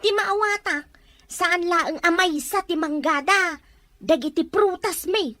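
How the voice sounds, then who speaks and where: Actress as emotional, excitable rural woman for a radio-style drama, extremely high voice. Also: measured pace, dry recording, full character voice. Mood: chatty, puzzled. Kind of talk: Ilocano audio drama acting.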